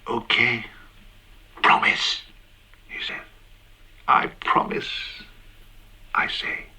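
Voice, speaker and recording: A man's voice: deep voice, bright, forceful tone, full character voice. An actor playing a boozy, flippant British man telling his story under questioning in a radio drama, wry, clipped and theatrical.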